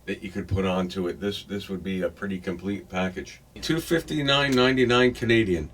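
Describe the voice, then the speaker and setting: A man's voice: low-pitched, a little fast, a bit bright. A man casually reviewing music gear, thinking aloud in a hesitant, unscripted way. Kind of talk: casual unboxing and gear demo. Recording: clearly noisy room recording.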